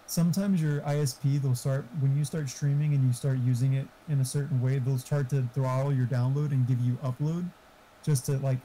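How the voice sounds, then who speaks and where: Man with a very mellow, dark voice. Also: mid-range voice, level tone, brisk, a little hiss or hum, rough recording. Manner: informal, explanatory. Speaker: friend giving streaming tech advice. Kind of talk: casual tech chat.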